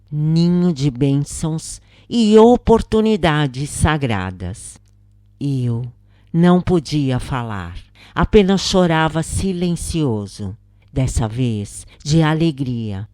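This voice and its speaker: A woman with a Brazilian accent reading a scripted first-person spiritual account in a solemn, measured manner, with deliberate pauses. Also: deep voice, dark tone, no echo, studio quality.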